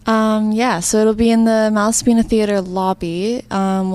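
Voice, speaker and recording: Young woman chatting casually, medium pitch. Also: a little mellow, clear, resonant tone. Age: young adult. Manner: informal, frequent "like" and "kind of". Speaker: student interviewee, live sound operator. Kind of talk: casual interview answers.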